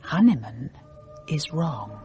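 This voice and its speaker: A callous, brisk heiress in a radio comedy drama. She is curt and dismissive, with animated intonation and music clearly behind her. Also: a bass voice, muffled recording, heavily acted. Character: callous, brisk rich man's daughter.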